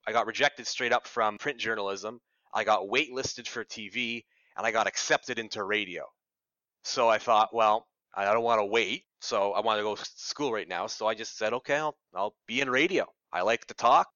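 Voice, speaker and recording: A man's voice: on the low side, normal speed, bright, forceful tone, no echo. Young canadian guy radio reporter getting interviewed, chatty n self-deprecating, dry room, kinda lo-fi sound. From Ottawa, Canada. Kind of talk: casual conversational storytelling.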